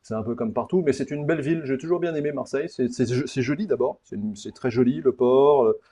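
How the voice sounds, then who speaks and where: Guy from paris hosting a casual podcast, relaxed mellow voice, kinda blunt, goes off on tangents. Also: medium pitch, fast, breathy edge. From Paris, France. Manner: informal, blunt, digressive, repeats words. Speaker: podcast host, interviewer. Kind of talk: casual conversational interview.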